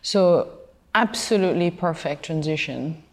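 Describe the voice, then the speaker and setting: Low-voiced woman hosting a podcast. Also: lively intonation, unhurried. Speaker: podcast host. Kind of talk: scripted intro then interview questions.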